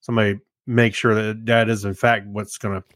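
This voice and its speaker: A man's voice: on the low side, level tone, a little fast, fairly bright tone, no room sound, studio quality. A man co-hosting a podcast, chatting and commenting casually. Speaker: podcast co-host.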